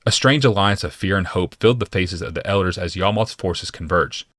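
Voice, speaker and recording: A man with a low voice narrates a fantasy audiobook. His delivery is deliberate and dramatic, clearly scripted storytelling. The sound is close and dry, without room echo. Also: a bit bright.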